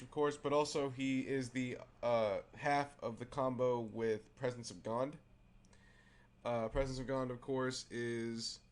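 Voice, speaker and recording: A man with a raspy voice who is clearly under the weather hosts a casual card game show. He walks through a deck at a middling pitch, hesitating often with fillers and restarts. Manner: halting, many fillers and restarts. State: unwell, raspy voice. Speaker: host.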